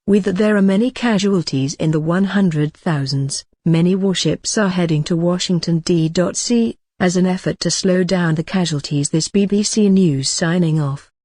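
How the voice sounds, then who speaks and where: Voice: mid-range voice, darkish tone, dry recording. News announcer with a very dry sound. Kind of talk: scripted news bulletin narration.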